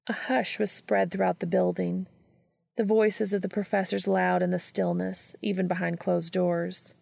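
Casting: female fiction narrator, lowish pitch, heavy vocal fry, close dry recording. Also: little pitch movement, normal speed, a little mellow, no echo. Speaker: fan fiction audiobook reader. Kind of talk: scripted story narration.

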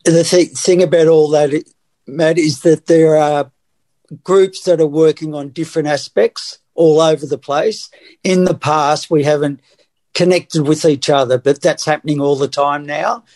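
Encouraging higher-voiced man co-hosting. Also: fairly even intonation, a little slow, darkish tone. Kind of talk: casual chat.

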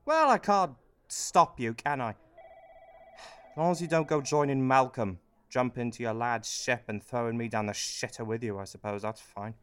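A woman's voice: very deep voice, normal speed, clear, resonant tone. A woman with a British accent playing a weak, petulant and rather dim Prime Minister in a satirical radio play. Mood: casual, teasing.

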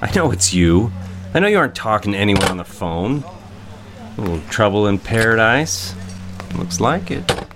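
A man's voice: lowish voice, sing-song, moderate pace, music in the background. An actor playing a mocking, spiteful man in a period melodrama, with a sneering, sarcastic way of talking. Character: mocking, spiteful man gloating over a woman's secret.

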